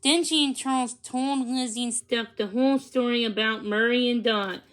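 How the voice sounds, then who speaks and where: High-pitched woman reading a storybook aloud. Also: normal speed, low-quality recording. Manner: stumbles over words and corrects herself. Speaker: read-along reader.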